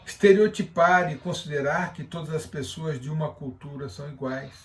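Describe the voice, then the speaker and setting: Man, Brazilian accent, slightly high voice, halting delivery, searching for words, explanatory lecture, distant microphone. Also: steady tone. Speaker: teacher giving a class. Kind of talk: explanatory lecture with loose reading from notes.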